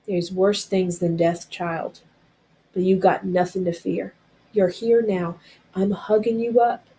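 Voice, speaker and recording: A woman's voice: medium pitch, fairly even intonation, takes it slowly, dark tone, poor audio. An elderly Southern woman from a storybook, acted out by a woman reader. She talks in folksy country dialect, motherly and protective. Her manner is tender but firm. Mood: soothing.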